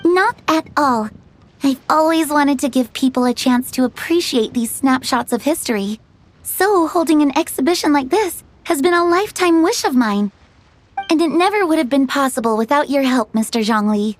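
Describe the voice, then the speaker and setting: A woman's voice: sits high, animated, moderate pace, slight background noise. A woman voicing a polite, refined antiques dealer in a video game, with a polished, courteous and formal manner. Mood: gracious. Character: polite, refined young businesswoman antiques dealer, grateful and gracious. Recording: faint music behind the voice. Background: soft game music.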